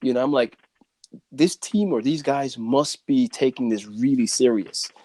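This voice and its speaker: A man hosts a casual podcast interview at a medium pitch. He talks haltingly, circling back on his phrases and padding them with fillers. The recording is dry, without room echo. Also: a little mellow. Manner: halting, frequent "you know". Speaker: podcast host.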